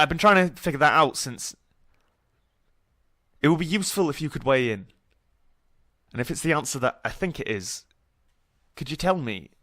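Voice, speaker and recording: A man's voice: medium pitch, fairly bright tone, no room sound, studio quality, natural voice. A young man playing an awkward, grieving student in a naturalistic audio drama, hesitant and rambling with nervous jokes. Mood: nervous, guilty. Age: young adult.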